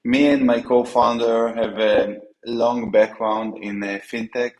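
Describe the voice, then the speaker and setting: A man with an Israeli accent, a company co-founder answering interview questions, halting with frequent fillers and restarts. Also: mid-range voice, level tone, reverberant, low-quality recording. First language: Hebrew. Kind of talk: business interview.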